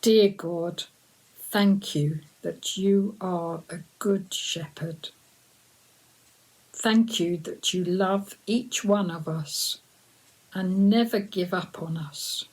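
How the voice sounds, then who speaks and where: Slow-paced woman reading to young children. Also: fairly low pitch, low-quality recording. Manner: expressive, deliberate, sing-song with hushed whispers. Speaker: children's story reader. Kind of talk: animated chanted story reading for young children.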